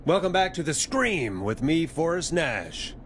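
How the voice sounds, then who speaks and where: A man playing an earnest, caring late-night radio host in a measured, dramatic style. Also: on the high side, big pitch swings, fairly bright tone, a little hiss or hum. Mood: announcing on air. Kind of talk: dramatic game voice acting.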